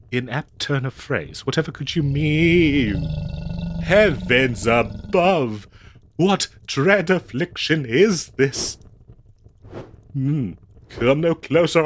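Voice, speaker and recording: A man playing a prim, devoted, fretful 19th-century husband in a comedic audio roleplay, speaking in florid, halting, theatrical old-fashioned phrases. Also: medium pitch. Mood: flustered, alarmed.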